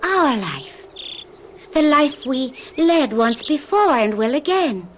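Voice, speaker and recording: A mysterious young French woman who coaxes in a soft, unhurried, higher voice. Her French accent is gentle and enigmatic. A faint hiss sits beneath the old radio drama recording. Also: sing-song, full character voice. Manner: slow, soft, coaxing and enigmatic. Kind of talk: 1970s radio drama acting.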